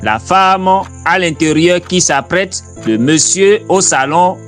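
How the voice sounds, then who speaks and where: Higher-pitched man narrating over music. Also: lively intonation, takes it slowly, a bit bright. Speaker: narrator and preacher. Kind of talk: narrated parable with voiced-over translation. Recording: music behind the voice.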